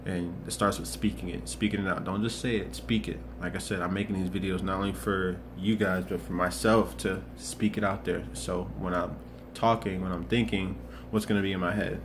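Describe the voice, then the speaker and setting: A young man in his twenties gives an earnest motivational talk, circling back and repeating phrases as he thinks. His voice sits low and stays fairly flat. A clear layer of background noise runs under the recording. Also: fairly quick, hardly any pauses. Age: 20s. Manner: earnest, rambling, many restarts and repetitions. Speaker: motivational vlogger. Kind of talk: casual motivational monologue.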